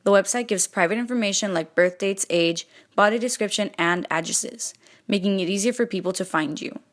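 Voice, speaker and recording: A woman's voice: mid-range voice, fairly quick. Female presenter, mid-range voice, scripted narration addressed to the listener.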